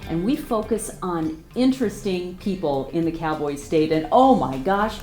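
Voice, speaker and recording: A woman's voice: lowish voice, animated, normal speed, darkish tone, faint music behind. A woman hosting a podcast interview from a converted barn office, chatty and enthusiastic. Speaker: podcast host.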